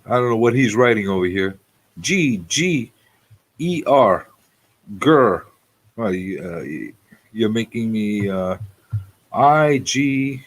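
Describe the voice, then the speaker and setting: A man's voice: on the low side, lively intonation, takes it slowly, pauses often. A man in his forties hosting a casual live talk show, repeating phrases for emphasis as he talks off the cuff. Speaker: radio show host.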